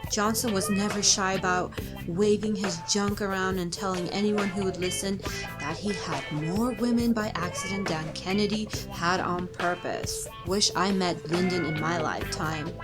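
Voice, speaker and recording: A woman's voice: medium pitch, moderate pace, close to an everyday voice. Woman as a porn star reciting trivia over music. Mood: matter-of-fact. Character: porn star presenting bawdy presidential trivia. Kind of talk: scripted trivia reading.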